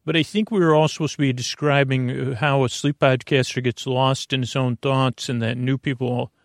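A male podcast host with a gravelly, creaky voice in a mid-range pitch. He improvises a wandering monologue, halting and restarting as he drifts off on tangents. The sound is very dry, with no room echo. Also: steady tone. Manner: meandering, halting, self-interrupting.

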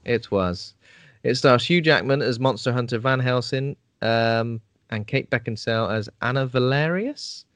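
A man's voice: mid-range voice, normal speed, a bit bright, no echo. A man co-hosting a podcast, chatting casually with ums and trailing thoughts. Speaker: podcast co-host.

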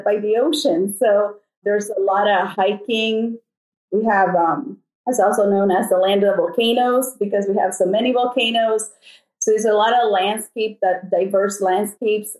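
A woman's voice: medium pitch, a little mellow. A middle-aged woman from El Salvador, a podcast guest telling stories in a chatty, conversational way. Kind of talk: casual conversation. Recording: echoey room.

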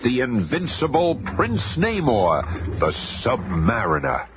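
A man's voice: medium pitch, wildly swooping pitch, slow pace, loud music behind the voice. A man narrating a radio serial from a script in a grand, theatrical, dramatic manner. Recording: muffled, noisy old radio recording.

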